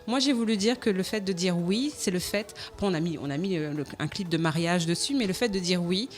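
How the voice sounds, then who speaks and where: A woman singer talking casually in a radio interview, animated and quick to laugh. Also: on the low side.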